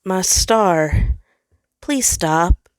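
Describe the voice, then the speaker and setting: Woman playing a worried gentle young planet guy, soft n pleading, storybook style, dry sound. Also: lowish voice, expressive, extremely slow, no room sound.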